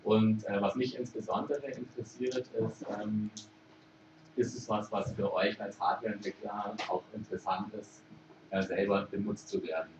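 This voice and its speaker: A man from Germany giving an informal conference talk, thinking aloud and pausing often with hesitations. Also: fairly low pitch, steady tone, background noise. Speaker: conference speaker. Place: lecture room at a conference. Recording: echoey hall, distant mic, muffled.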